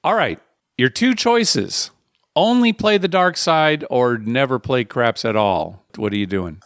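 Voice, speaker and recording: A man's voice: medium pitch, expressive, measured pace, no room sound, studio quality. A man hosting a podcast, talking casually and conversationally, with an explanatory manner and short asides. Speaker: podcast host.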